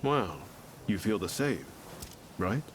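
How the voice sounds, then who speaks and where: An easygoing young samurai from a video game, principled but casual. His voice sits fairly low and his phrasing is laid-back, with some ambient noise behind it. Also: very animated, slow. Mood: troubled, musing. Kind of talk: video game cutscene acting.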